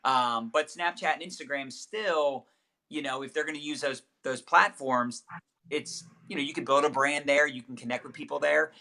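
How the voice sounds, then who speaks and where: A man's voice: on the high side, normal speed, vocal fry, sharp tone. A man giving chatty, upbeat coaching tips as the guest of a casual livestream interview, with many fillers. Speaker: network marketing trainer, guest.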